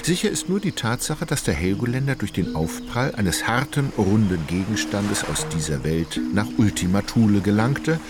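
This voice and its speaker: An actor as a measured, ironic literary narrator of a radio drama, wry in manner. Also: male voice, fairly low pitch, lively intonation, over loud music, natural voice. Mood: wry, detached. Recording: clear ambient noise behind voice.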